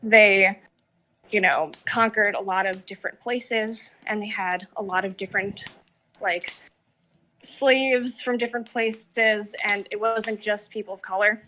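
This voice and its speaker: A woman's voice: mid-range voice, unhurried, sharp tone, slight background noise. A woman co-hosting a podcast, giving casual critical opinions in an emphatic way, peppered with filler words.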